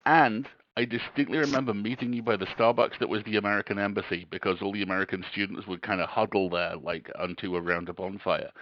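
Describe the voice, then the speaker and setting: A man with a lowish voice tells casual stories as a livestream co-host, wry and wordy. He is close on a headset mic, with a dry, somewhat muffled sound. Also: fairly even intonation, fast talker, few pauses, no room sound. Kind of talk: casual storytelling banter. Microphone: close headset microphone. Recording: muffled headset audio, dull.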